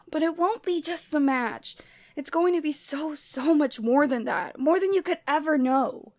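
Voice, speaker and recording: Woman voicing a female Cupid, high voice, dry close sound, conversational, expressive, lovestruck best friend, roleplay audio. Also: measured pace, no room sound. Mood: upset, exasperated. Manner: conversational, teasing, emotionally expressive. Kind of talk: ASMR roleplay audio drama, addressing the listener.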